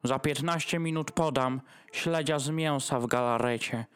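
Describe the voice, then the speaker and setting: A man's voice: mid-range voice, moderate pace, clean tone, natural voice. A man playing a doting, long-winded dog host in a rhymed radio play, speaking in a ceremonious manner. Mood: apologetic, fussy. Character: Freddy, doting, long-winded male dog hosting Christmas dinner.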